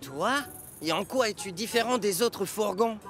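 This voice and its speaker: Snooty vain cartoon steam engine, super high pitched, music playing under it. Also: male voice, lively intonation, normal speed, a bit bright. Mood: grumpy, dismissive. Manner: pompous, haughty. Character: vain, boastful, impatient male steam locomotive. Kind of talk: dubbed children's cartoon acting. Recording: music behind the voice.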